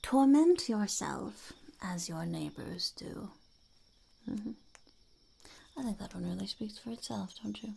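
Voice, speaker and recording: A woman voicing a blunt, mischievous female goblin in a whispered ASMR roleplay, deadpan and cynical, with a creaky, breathy voice close to the mic. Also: mid-range voice, slow pace, long pauses, heavily acted. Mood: dryly mocking. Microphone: close ASMR microphone.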